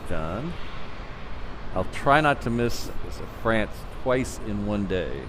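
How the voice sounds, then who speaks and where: A man's voice: on the low side, lively intonation, takes it slowly. A man hosting a live plane-spotting stream outdoors, rambling in a laid-back, chatty way. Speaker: livestream host, plane spotter. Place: outdoors near an airport runway. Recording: outdoor traffic noise. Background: road traffic.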